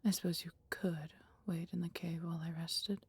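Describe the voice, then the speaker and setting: A woman voicing a mighty female dragon, proud yet caring, with breathy, husky tones and archaic, theatrical phrasing in a fantasy audio roleplay. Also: fairly low pitch, level tone, moderate pace, darkish tone, dry recording. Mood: wistful, dramatic relish.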